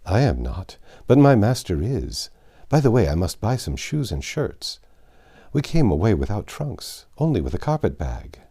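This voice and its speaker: A man's voice: fairly low pitch, big pitch swings, normal speed, dark tone, husky, full character voice. A man voicing a voluble, proud and trusting French servant in a storybook, chatty and emphatic. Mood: chatty, polite.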